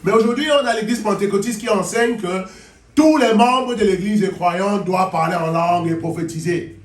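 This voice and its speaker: A man preaching in a church hall, lively and animated, repeating his phrases and calling on the congregation. His voice sits high, and the sound rings around a reverberant room. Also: normal speed. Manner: animated, repeats phrases, mock gibberish for effect. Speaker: interpreter and preacher. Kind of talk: sermon with call and response. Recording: echoey hall.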